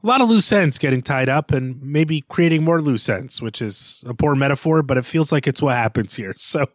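A man's voice: mid-range voice, lively intonation, normal speed, no echo, studio quality. A man co-hosting a book podcast, talking through a novel in a thoughtful, hedging way with frequent restarts. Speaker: podcast co-host. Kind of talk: casual book discussion.

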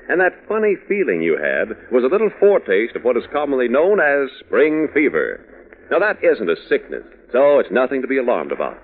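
Male radio pitchman, mid voice, very lively intonation, chummy and folksy, muffled vintage broadcast. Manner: chummy, folksy, addressing youngsters. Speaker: radio announcer, sponsor pitchman. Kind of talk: 1940s radio commercial patter. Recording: muffled old radio recording.

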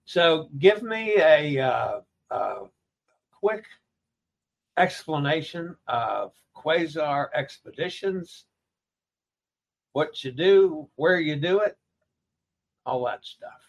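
A man's voice: on the high side, expressive, slow pace, vocal fry, long pauses. A man hosting an interview podcast, chatty and joking, who often trips over his words. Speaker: podcast host.

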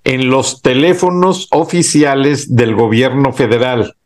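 A man's voice: medium pitch, fairly even intonation, a little slow. A man hosting a casual political chat, laying out news in an informative, explanatory way. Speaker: host.

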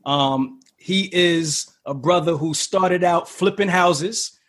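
Man, podcast host, husky voice, casual, lots of fillers. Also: high voice, takes it slowly, fairly bright tone. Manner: laid-back, many fillers and restarts.

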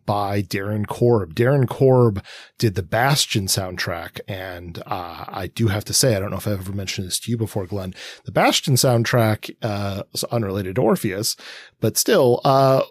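An American man co-hosts a podcast in a low voice. He talks casually and with enthusiasm, recommending things as he goes. The sound is very dry, with hardly any room in it. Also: husky, dry recording. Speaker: podcast co-host.

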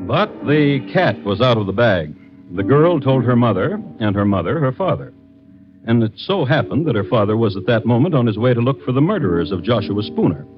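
Lively male storyteller over guitar music. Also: medium pitch, a little fast. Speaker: narrator. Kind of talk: wry radio storytelling narration. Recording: dull, lo-fi old radio recording.

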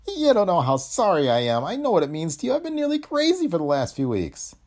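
A man's voice: sits high, wildly swooping pitch, fast talker, darkish tone, pitched above the performer's own voice, full character voice. A man voicing a plump, miserable middle-aged studio employee in an old science fiction story, pleading and choked with guilt. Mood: miserable, apologetic. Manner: pleading, self-blaming.

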